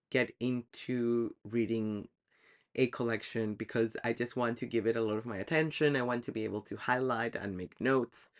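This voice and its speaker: A man talking casually to camera about his reading goals, chatty and self-deprecating, with frequent ums. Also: mid-range voice. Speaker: book vlogger.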